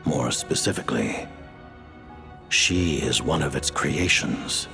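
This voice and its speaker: A man playing a grave, aloof ancient mastermind in a video game cutscene, speaking in a measured, formal, imperious way with a gravelly voice. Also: rumbling low, bright, forceful tone, exaggerated voice. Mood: explanatory, solemn. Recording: background music.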